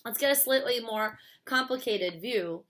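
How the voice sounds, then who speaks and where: Bright-voiced woman teaching philosophy. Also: on the high side, expressive, a little slow. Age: 30s. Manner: chatty, uses fillers like "like" and "stuff". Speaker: philosophy lecturer. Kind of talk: casual classroom explanation.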